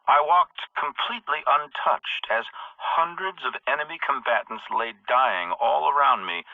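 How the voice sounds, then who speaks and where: Grandiose militant leader, dramatically swinging intonation. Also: male voice, medium pitch, no room sound, phone-quality sound. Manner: oratorical, deliberate, emphatic rhetorical questions. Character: defiant militant leader, grandiose, ruthless, coldly fervent. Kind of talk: dramatic video game monologue.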